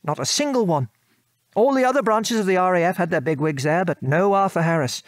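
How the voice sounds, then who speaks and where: A British war veteran father in an audio drama. His voice sits a little high for a man, recorded close and dry. He reminisces frankly and articulately, with old-fashioned phrasing and an aggrieved edge. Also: expressive, brisk, dry recording. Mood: bitter, indignant. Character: former RAF bomber airman, reminiscing father, frank and aggrieved.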